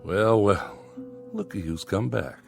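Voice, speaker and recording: Dour undertaker, very low voice, dry sardonic delivery, clear music behind. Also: male voice, slow. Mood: wry. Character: dour, dry male Western town undertaker. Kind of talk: old Western drama acting. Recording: vintage TV drama audio under music.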